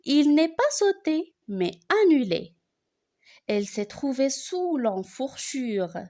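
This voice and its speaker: Slow, high-voiced woman reciting poetry. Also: darkish tone. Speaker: reciter of a school poem. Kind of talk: expressive poem recitation for learners.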